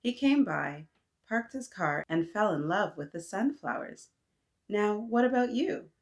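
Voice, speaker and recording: A woman voicing a kindly, proud neighbor lady in a picture book, measured and courteous in a motherly way. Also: mid-range voice, animated, voice pushed up. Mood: proud, friendly.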